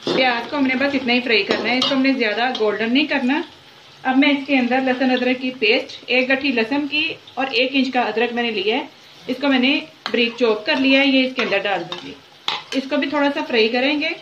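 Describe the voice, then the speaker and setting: A woman hosting a home cooking channel, talking through each step of a recipe at the stove in an unhurried, repetitive way. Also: sits high, muffled recording. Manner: unhurried, repeats each step as she works. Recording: echoey kitchen with sizzling noise. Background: frying and sizzling from the pot.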